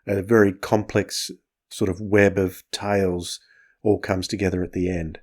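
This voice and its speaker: A man's voice: deep voice, level tone, moderate pace. A man hosting a podcast, chatting casually as he reviews. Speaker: podcast host.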